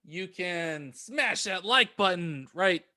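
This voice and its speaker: A man co-hosting a podcast in casual comic chat, halting and offhand. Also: sits high, expressive, bright, forceful tone, no room sound, clean studio sound. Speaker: podcast co-host.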